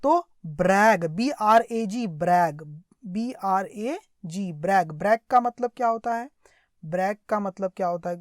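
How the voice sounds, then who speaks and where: A woman's voice: medium pitch, lively intonation, a little slow, dry recording, clean studio sound. A woman with an Indian accent giving a translated story lesson, explaining phrase by phrase and often repeating herself to check understanding. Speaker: English teacher.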